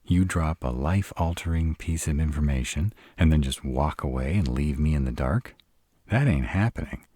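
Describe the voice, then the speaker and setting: Man acting a very deep, husky, sarcastic vampire. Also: moderate pace, warm tone, dry recording, studio quality. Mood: indignant, alarmed. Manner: sarcastic, grumbling, dramatic. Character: sarcastic irritable male vampire, stranded in a human's home. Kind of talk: intimate roleplay audio drama monologue.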